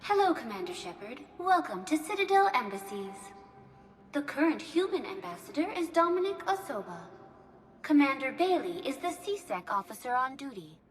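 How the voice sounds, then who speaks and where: High-pitched courteous virtual embassy assistant. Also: female voice, big pitch swings, moderate pace. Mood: courteous, formal. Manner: formal, courteous, precisely scripted. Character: polite female virtual assistant at an embassy, helpful and formal. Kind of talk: scripted information-desk announcements in a sci-fi game.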